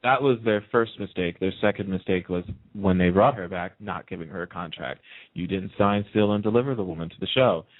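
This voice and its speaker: A man's voice: low-pitched, a little fast. A man chatting casually and emphatically, giving his opinions as a participant on a radio show. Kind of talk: casual chat about TV soap storylines. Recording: muffled narrow phone-like line.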